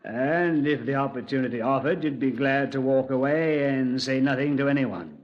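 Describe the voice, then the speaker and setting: An actor playing a composed senior Scotland Yard officer with a clipped, dry manner, in a 1950s radio drama. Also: male voice, mid-range voice, moderate pace, lightly acted. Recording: dull lo-fi 1950s radio recording.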